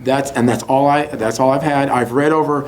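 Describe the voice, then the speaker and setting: A man from Texas telling his own story as an interview guest, halting and repeating phrases for emphasis. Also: medium pitch, steady tone, a little mellow. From Houston, Texas, United States. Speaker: interview guest, entrepreneur and author. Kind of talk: conversational personal storytelling in an interview. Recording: clearly noisy background ambience.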